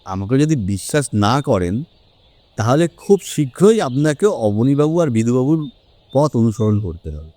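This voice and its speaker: A man playing a shrewd, self-assured detective in an audio drama, probing and commanding in his deliberate speech. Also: mid-range voice, sing-song, mellow, no echo. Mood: calm, ominous. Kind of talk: Bengali audio drama acting.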